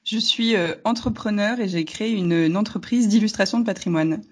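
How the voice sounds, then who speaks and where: A woman's voice: medium pitch, fairly quick, breathy edge. A young woman from northern France with a slight northern French accent, answering interview questions in a hesitant, casual way, with many fillers and easy laughter. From Hauts-de-France, northern France. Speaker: guest entrepreneur.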